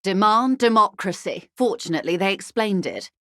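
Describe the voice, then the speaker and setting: An actress playing a bourgeois corporate negotiator in a video game, polite and articulate, with a measured, drily condescending wit. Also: female voice, medium pitch, expressive, bright, forceful tone, no echo, clean studio sound. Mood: drily amused. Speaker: senior labour negotiator. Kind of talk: video game dialogue voice acting.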